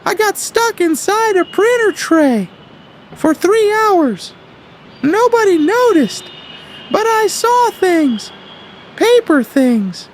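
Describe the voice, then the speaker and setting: A woman's voice: very high-pitched, big pitch swings, slow pace, slight background noise, exaggerated voice. A woman voicing a small, spooked puppet who tells things in a haunted, ominous way. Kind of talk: comic puppet vox pop.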